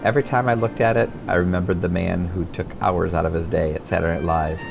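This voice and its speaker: A man's voice: low voice, fairly even intonation, quick pace, vocal fry, over music. A middle-aged American man from Michigan reading his own memoir as scripted audiobook narration. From Michigan, United States.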